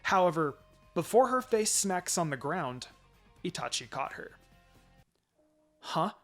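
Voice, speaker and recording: Man for dramatic fan fiction narration, fairly high voice, music under the read. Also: sing-song, measured pace, a bit bright.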